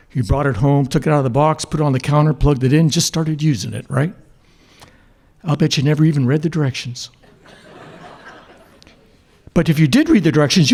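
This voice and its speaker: A man telling a deadpan tall tale on stage in an event hall, chatting with the audience through rhetorical questions. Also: a little high, creaky, breathy edge. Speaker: storyteller in a liars contest.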